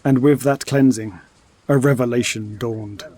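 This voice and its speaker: A man's voice: medium pitch, fairly even intonation, measured pace, dark tone. A man delivering scripted, dramatic narration for an audio story.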